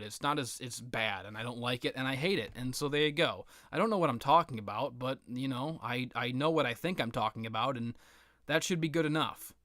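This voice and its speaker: Guy rambling about games, bright punchy voice, dry close sound, sweary and self-deprecating, kinda sardonic. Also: medium pitch, no echo. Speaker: gaming commentator. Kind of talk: casual rambling game commentary.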